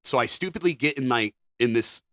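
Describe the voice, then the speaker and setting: A man's voice: mid-range voice, expressive, a little fast, a bit bright, dry recording, clean studio sound. Rambling male podcast storyteller. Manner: rambling, restarts, swears casually. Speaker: podcast co-host.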